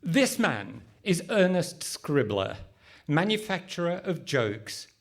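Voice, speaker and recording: A man's voice: on the high side, sing-song, vocal fry, full character voice. A man playing an exasperated, emphatic comedian in a live radio comedy play. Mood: solemn narrating. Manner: deliberate, emphatic. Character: exasperated male comedian recording a sketch, pompous narrator. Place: hall stage in front of a live audience.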